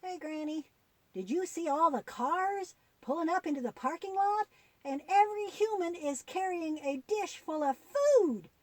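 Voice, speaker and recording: Lady doing little boy puppet, high voice, super curious and wide-eyed, swoops around a lot, kids show. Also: lively intonation, unhurried, no echo. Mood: curious amazement. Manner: wide-eyed, questioning, exclamatory. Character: eager, curious, easily amazed young boy puppet. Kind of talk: puppet-show dialogue for children.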